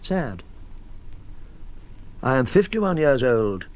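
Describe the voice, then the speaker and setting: A man's voice: medium pitch, sing-song, slow, a little mellow, low-quality recording. An actor with a British accent playing a defensive, exasperated husband in his fifties in a radio drama, sardonic and weary. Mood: wistful, pleading. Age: 50s. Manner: sardonic, weary, bursts of irritation. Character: defensive, exasperated 51-year-old husband accused by police.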